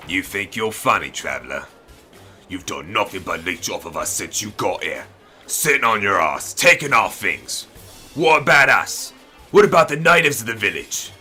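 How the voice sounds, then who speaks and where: A man as a deep, forceful, surly village thug. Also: very animated, moderate pace, faint music behind, exaggerated voice. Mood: menacing anger. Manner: gruff, foul-mouthed, menacing. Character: surly, bitter, crude male village thug.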